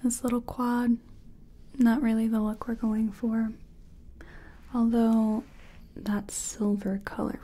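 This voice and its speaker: Breathy, soft-spoken woman playing a hesitant makeup artist. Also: on the high side. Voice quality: breathy, whispery. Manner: hesitant, gentle, murmuring to herself. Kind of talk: soft-spoken ASMR personal-attention roleplay.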